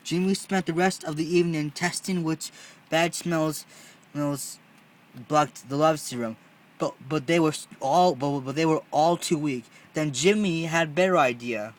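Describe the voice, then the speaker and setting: A man's voice: fairly high pitch, normal speed. A man narrating a storybook read-along, often stumbling and repeating phrases as he reads.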